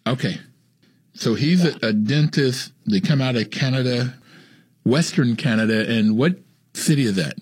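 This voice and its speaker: A man's voice: medium pitch, expressive, normal speed, darkish tone, husky. A man hosting a radio talk show, greeting listeners and leading a guest with friendly questions and short murmured replies. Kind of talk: radio interview.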